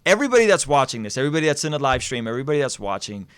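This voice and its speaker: A man's voice: medium pitch, sing-song, very fast, smooth, no room sound. A man hosting a live stream, talking with energy, repeating words and restarting sentences as he goes. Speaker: host.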